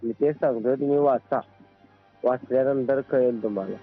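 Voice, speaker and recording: A man's voice: medium pitch, moderate pace, dry recording. A man with a Maharashtrian accent arguing on the phone in a firm, restrained, repetitive way. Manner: firm, restrained, repeats himself. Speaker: book publisher. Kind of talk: phone argument. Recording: tinny phone call recording over music. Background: faint music.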